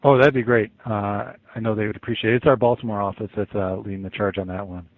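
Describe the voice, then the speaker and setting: A man's voice: fairly low pitch, steady tone, fast, no echo. An American man speaking courteously and professionally as a federal agent on a work conference call over a telephone line. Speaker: FBI agent. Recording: muffled phone conference call recording.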